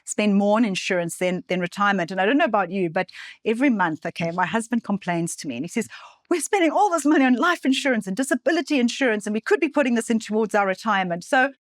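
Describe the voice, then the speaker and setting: A woman's voice: mid-range voice, lively intonation, quick pace, no room sound. Woman hosting a money podcast, chatty, asks stuff as she thinks, dry close sound. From South Africa. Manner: chatty, thinks aloud with fillers. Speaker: podcast host. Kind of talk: conversational interview.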